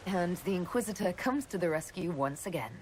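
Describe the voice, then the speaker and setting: A woman playing a composed, gently wry leader in a fantasy video game, direct and polite. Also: fairly low pitch, expressive, fairly quick, lightly acted, poor audio. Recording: clear background ambient noise.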